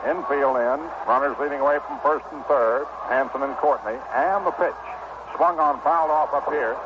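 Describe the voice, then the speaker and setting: An American man calling baseball play-by-play on 1960s radio in a measured, descriptive way with pauses. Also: medium pitch. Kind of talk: live 1960 radio sports commentary. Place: ballpark broadcast booth. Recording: noisy muffled vintage 1960 radio broadcast.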